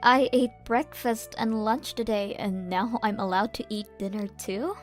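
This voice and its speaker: A woman's voice: on the high side, steady tone, unhurried, faint music behind, close to an everyday voice. A woman playing a timid, apologetic young mother in a manga dub, hesitant and humble. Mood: meek, hesitant. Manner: hesitant, meek.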